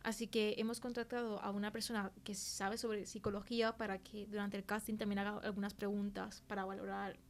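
A woman's voice: mid-range voice, flat delivery, fairly quick, few pauses, natural voice. A woman with a Spanish accent playing a film worker who gives conversational testimony as an interview guest. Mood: earnest. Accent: Spain. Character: female film crew worker recounting a frightening experience.

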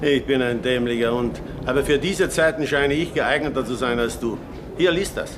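An actor playing a wryly worldly, resigned politician in a German radio drama, with a sardonic, emphatic delivery. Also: male voice, mid-range voice, normal speed, background noise, lightly acted.

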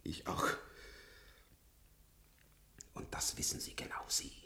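An actor playing an ancient, sardonic and imperious transplant patient in a German science-fiction radio play, with an ironic, drawn-out theatrical delivery. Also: male voice, sharp tone, whispered. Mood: bitter, brooding. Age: older.

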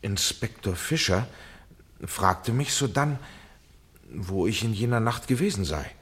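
An actor playing a polite, measured man under police suspicion in a German radio play, with formal phrasing and a husky voice. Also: lowish voice, expressive, a bit bright, exaggerated voice. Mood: uneasy, measured.

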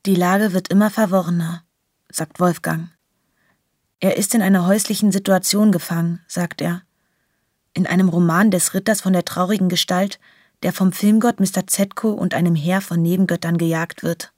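A woman narrator with a slightly low voice reads literary prose for a radio play. The recording is very dry, with hardly any room around her. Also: steady tone, normal speed, a little mellow, no room sound.

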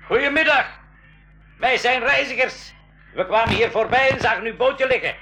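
An actor playing an adventurous, commanding but cautious professor uncle in a school radio play. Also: male voice, extremely high pitch, moderate pace, over music. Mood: polite.

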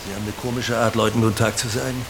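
An actor in a German radio drama playing a gruff middle-aged male farmer, terse, grudging and sarcastic. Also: lowish voice, level tone, rapid-fire, background noise, close to an everyday voice. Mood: irritated, sarcastic.

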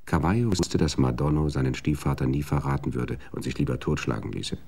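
Deep, gravelly radio-drama narrator. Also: male voice, darkish tone, a little hiss or hum. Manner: measured, sober storytelling.

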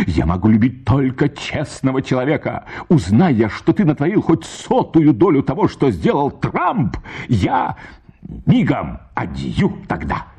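A man's voice: mid-range voice, mellow, husky, pitched above the performer's own voice, heavily acted. A man voicing an indignant, naive young wife who moralizes emphatically in a dramatized short story. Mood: angry, righteous.

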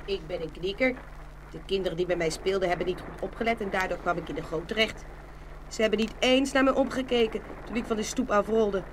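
A woman's voice: fairly quick, natural voice. A woman playing a slighted, down-to-earth toy marble in a children's fairy-tale radio play, matter-of-fact and aggrieved. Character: plain-spoken, slighted toy marble, scornful of snobs. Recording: faint noise and rumble.

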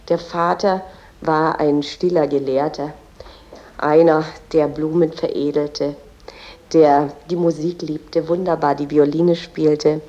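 Mellow, even-toned woman tour guide. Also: low voice, muffled recording. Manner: explanatory, halting with small slips and self-corrections. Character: female museum guide, earnest and reverent about a poet's family. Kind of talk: guided-tour commentary in a radio drama.

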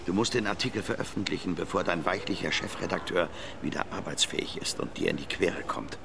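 A scheming, condescending man of influence in a radio play. His voice is very low, and he speaks in a measured, dry, insinuating way. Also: vocal fry. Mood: urging, insinuating. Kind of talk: radio drama acting. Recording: music or ambient noise behind.

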